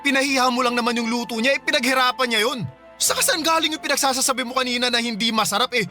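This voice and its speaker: A man's voice: very high-pitched, fairly even intonation, quick pace, bright, forceful tone, faint music behind, full character voice. An actor playing an earnest, protective young man, respectful and pleading, in a Filipino radio drama. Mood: upset, reproachful.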